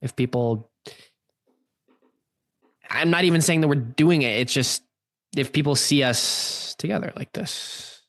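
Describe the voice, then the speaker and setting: A man's voice: fairly high pitch, measured pace, pauses often, a bit bright, no room sound. A man playing an anxious, evasive young man in an amateur radio play, hesitant and defensive, often repeating himself. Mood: flustered, defensive.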